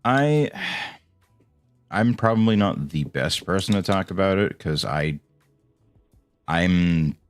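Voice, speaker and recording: Man, very deep voice, dry close mic, faint music behind, low-key gameplay chatter. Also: expressive, slow pace, pauses often, dry recording. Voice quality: voice called soothing. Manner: low-key, mumbling, swears when frustrated. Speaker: streamer. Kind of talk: casual gameplay commentary.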